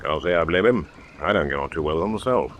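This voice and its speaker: An actor playing a gruff, sceptical army major with a dry, blunt, grumbling way of talking. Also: male voice, low-pitched, lively intonation, fast talker, slight background noise. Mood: exasperated, scathing. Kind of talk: radio drama acting. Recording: loud crowd and outdoor din behind.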